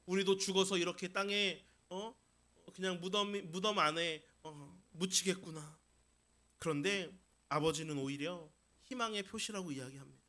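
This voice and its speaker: A man's voice: high voice, moderate pace, bright, forceful tone. A man preaching a Sunday sermon as pastor, emphatic, repeating key phrases and posing rhetorical questions to his congregation.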